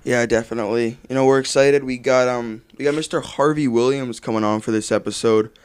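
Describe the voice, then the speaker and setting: A man's voice: medium pitch, steady tone, a little fast, a little mellow, clean tone, dry recording. A young man co-hosting a casual sports podcast, talking loosely and swearing now and then. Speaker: podcast co-host.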